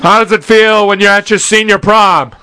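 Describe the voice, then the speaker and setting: A young man hosting a college radio talk show in a studio, loud and full of jokes at his own expense. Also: sits high, steady tone, normal speed, clean tone. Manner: loud, joking, self-mocking. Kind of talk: casual studio banter.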